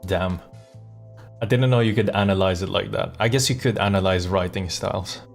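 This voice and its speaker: Low-pitched man rambling casually on a game stream. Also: moderate pace, creaky, faint music behind. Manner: rambling, thinking aloud. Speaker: live streamer. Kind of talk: casual chat while playing a game.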